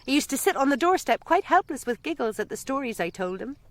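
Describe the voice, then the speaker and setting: A woman's voice: high voice, sing-song, fast talker, no echo. An actress playing a wistful mother in a radio drama, dreamily and proudly reminiscing about her husband. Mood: wistful, fond.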